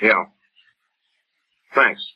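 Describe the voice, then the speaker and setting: An actor in an old-time radio drama playing a troubled, jumpy baseball umpire, halting and terse. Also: male voice. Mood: guarded. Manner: halting, terse, repeats himself. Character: troubled, jumpy male baseball umpire who has lost his nerve. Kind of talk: old-time radio drama acting and first-person narration. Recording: dull, noisy old radio recording.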